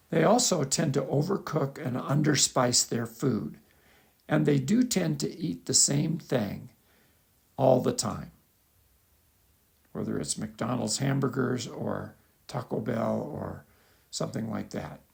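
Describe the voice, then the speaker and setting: A man with a gravelly, creaky voice reads his own article as a measured, explanatory lecture with occasional asides. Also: medium pitch, a little mellow. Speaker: author reading own article. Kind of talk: scripted lecture-style narration with asides.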